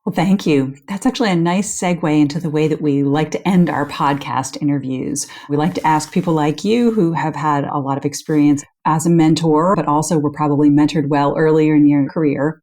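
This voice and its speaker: A woman's voice: sits low, a little mellow. A woman co-hosting a professional podcast, asking a guest prepared questions in a polite manner. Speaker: podcast co-host, journal editor.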